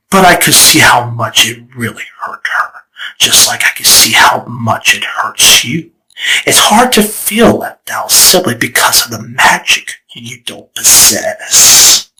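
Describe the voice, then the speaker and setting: A man's voice: medium pitch, animated, pushed and bright, exaggerated voice, low-quality recording. A man voicing a scheming, theatrical male chaos spirit in a fan comic dub. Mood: theatrical menace. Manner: dramatic, teasing, slow and deliberate. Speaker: storyteller figure.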